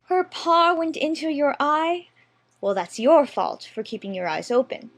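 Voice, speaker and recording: A woman playing a lively, chattering little girl who mock-scolds her kitten in a dramatized children's storybook. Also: high-pitched, unhurried, heavily acted. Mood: playfully stern.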